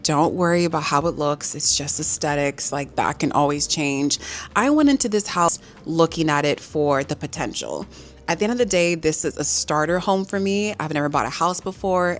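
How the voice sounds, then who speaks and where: A woman's voice: lowish voice, a little hiss or hum. A young woman from Los Angeles, vlogging to camera in a chatty, giggly way and sounding a little nervous. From Los Angeles, United States. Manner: chatty, giggly, animated storytelling. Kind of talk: casual talk to camera.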